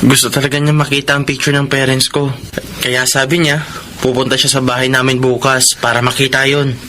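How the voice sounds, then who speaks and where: A man's voice: medium pitch, flat delivery, brisk, sharp tone, slight background noise, natural voice. A man playing an honest, humble and polite young waiter in a Filipino radio drama. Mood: earnest. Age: young adult.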